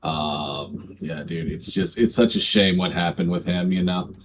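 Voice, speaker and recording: A man's voice: deep voice, steady tone, unhurried, noisy background. A man doing casual vlog commentary, swearing a lot and tacking "bro" and "dude" onto his lines. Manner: profane, slangy, keeps adding "bro" and "dude". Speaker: online video creator.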